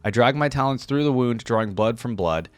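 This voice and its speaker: A man's voice: mid-range voice, expressive, fairly quick. A man hosting a gaming reaction channel, commenting and reading aloud in a close, dry recording. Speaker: YouTube channel host reacting to game lore.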